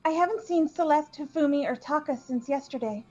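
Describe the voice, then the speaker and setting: Woman as a high-pitched gentle AI character, muffled. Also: brisk, natural voice. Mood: hesitant, subdued. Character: gentle, sweet AI program on a laptop. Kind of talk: amateur fan voice acting of a game.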